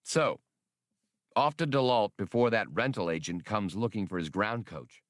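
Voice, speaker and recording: A man's voice: on the low side, moderate pace, no room sound, natural voice. A brash starship pilot from a space adventure audiobook , acted out by a man. He is blunt and impatient, challenging whoever he talks to. The sound is dry and close. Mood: brisk.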